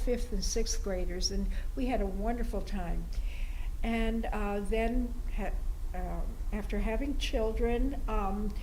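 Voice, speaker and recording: Unhurried older woman, Hungarian native. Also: medium pitch, a little hiss or hum, low-quality recording. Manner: unhurried, warm storytelling with many pauses and fillers. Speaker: guest, retired language teacher. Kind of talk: conversational interview answers.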